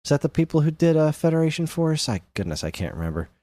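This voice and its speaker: A man's voice: fairly high pitch, big pitch swings, fast talker, warm tone, no room sound, studio quality. A man co-hosting a podcast, chatting casually about games in an enthusiastic, rambling, informal way. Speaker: podcast co-host.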